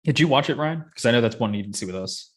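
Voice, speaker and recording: A man's voice: mid-range voice, expressive, quick pace. A man co-hosting a podcast, chatting casually about entertainment with joking, sardonic asides. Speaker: podcast co-host. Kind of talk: casual movie discussion.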